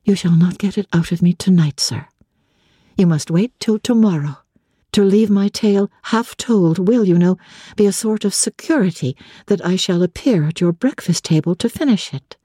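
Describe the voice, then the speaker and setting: A woman voicing a devoted young woman, tender, practical and gently teasing, with composed, formal speech in a dramatic audiobook. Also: on the low side, normal speed, husky, dry recording, studio quality. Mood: brisk, teasing. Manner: composed, playful, formal old-fashioned speech. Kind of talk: dramatic literary audiobook reading.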